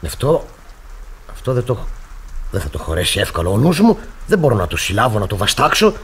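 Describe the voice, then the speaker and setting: An actor playing a stern, easily scandalized pastor in Greek radio theatre, formal and preachy, with a husky voice. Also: male voice, fairly low pitch, very animated, a bit bright, full character voice. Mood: shocked.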